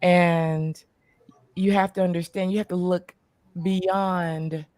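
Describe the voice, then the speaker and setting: A woman in her forties, a panelist in a casual group chat, loud, playful and quick to laugh. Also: lowish voice, flat delivery, dry recording. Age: 40s.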